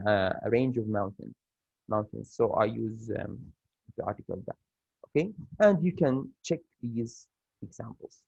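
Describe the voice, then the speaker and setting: A man's voice: mid-range voice, dry recording. A male English teacher with an Arabic accent, giving a recorded grammar lesson in a hesitant way, with many fillers and restarts. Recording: dull dry lo-fi recording.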